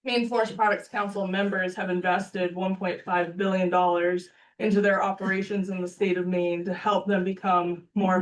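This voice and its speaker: A woman's voice: mid-range voice, distant mic, poor audio. A woman giving upbeat, earnest opening remarks as executive director at a breakfast panel, with some ums. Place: meeting room.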